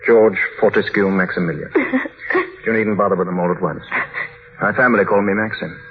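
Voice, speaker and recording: A somewhat low-voiced, curt English aristocrat played by a man, brooding and used to giving orders. He talks in clipped 1940s radio drama style over a narrow, muffled old broadcast with slight noise. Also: normal speed, lightly acted. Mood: dryly teasing. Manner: brusque, commanding, clipped.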